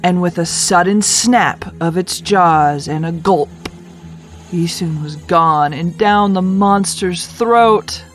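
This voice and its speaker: A woman narrating a children's folktale as a read-aloud, with expressive, deliberate delivery. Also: lowish voice, faint music behind. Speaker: storyteller.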